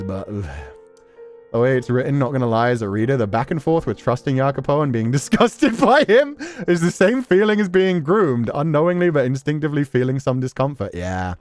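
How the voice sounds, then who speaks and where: A man talking casually and emphatically as a streamer, rambling through commentary with slangy asides. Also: mid-range voice. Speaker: streamer reacting to a story game. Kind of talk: casual rambling commentary, reading and answering chat.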